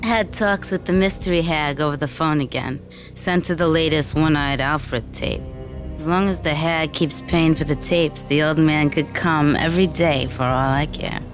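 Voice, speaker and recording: Actress as a low-voiced, jaded hooker. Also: female voice, normal speed, over music, lightly acted. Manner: casual, blunt. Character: jaded, mercenary female hooker reading her diary.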